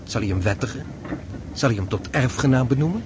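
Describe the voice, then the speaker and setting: Man playing courteous young gentleman, medium pitch, direct, composed, radio drama, faintly noisy recording. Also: lively intonation, brisk, close to an everyday voice. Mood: questioning. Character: polite, frank young gentleman, composed and sceptical.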